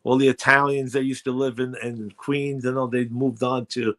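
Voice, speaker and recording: A man's voice: medium pitch, little pitch movement, moderate pace, a bit bright. A man in his sixties with a New York accent, hosting a radio chat show in a rambling, chatty way. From Brooklyn, New York. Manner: rambling, chatty, many fillers. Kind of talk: casual chat.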